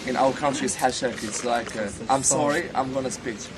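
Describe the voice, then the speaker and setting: A man talking in casual conversation with a streamer, soft-spoken and hesitant, often trailing off. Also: mid-range voice, fairly quick, low-quality recording. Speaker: guest on a street livestream. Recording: busy street crowd behind the voice.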